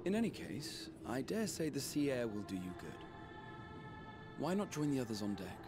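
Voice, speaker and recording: An actor playing a wry, courteous gentleman in a fantasy game, speaking in a measured, gently formal manner. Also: mid-range voice, sing-song. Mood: calm, reassuring. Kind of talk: game cutscene acting. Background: game music.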